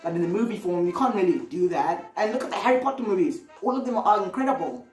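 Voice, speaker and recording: A woman's voice: medium pitch, expressive, fast talker, a little mellow. Roomy, distant woman giving opinionated commentary. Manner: conversational, rambling, exclamatory. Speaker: video host. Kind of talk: casual opinionated video commentary. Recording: roomy, distant mic, music underneath. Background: music.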